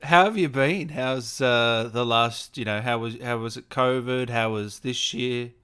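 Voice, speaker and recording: A man's voice: medium pitch, a little slow, pushed and bright, clear, resonant tone. A man hosting a casual streamed interview, talking informally and tagging his lines with little questioning asides. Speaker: host, interviewer.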